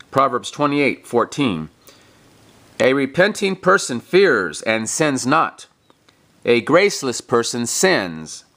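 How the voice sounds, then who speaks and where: A man reading a religious text aloud like a preacher, in deliberate, emphatic, declamatory phrasing. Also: mid-range voice, bright, forceful tone. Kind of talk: scripted devotional reading, sermon-like.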